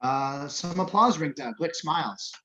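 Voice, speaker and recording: A man's voice: on the high side, expressive, unhurried, sharp tone. A man reading scripted stage directions at a table read, recorded on a dull lo-fi microphone.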